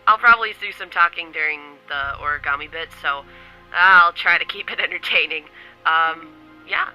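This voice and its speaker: Upbeat woman vlogger, chatty, nasal from a cold, very bright tone. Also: sits low, moderate pace, soft background music, poor audio. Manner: chatty, upbeat, swears casually. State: stuffy from a cold, occasional coughing. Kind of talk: casual chat to camera. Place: home in New York City.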